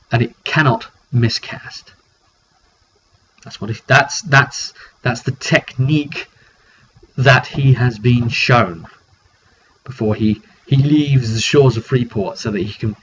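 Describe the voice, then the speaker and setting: Man, game master, informal story recap, halting delivery, medium pitch, muffled audio. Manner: halting, thinking aloud, repeats words. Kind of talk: informal narrated recap of a tabletop roleplaying session. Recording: dull recording with faint background noise.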